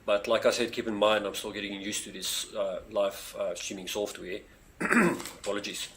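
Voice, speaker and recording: South African male streamer, Afrikaans first language, low voice, rambling chatty delivery, faint background noise. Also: normal speed. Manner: rambling, many fillers, eager pleas to viewers. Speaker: space channel streamer. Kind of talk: casual live stream chat. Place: home near a street.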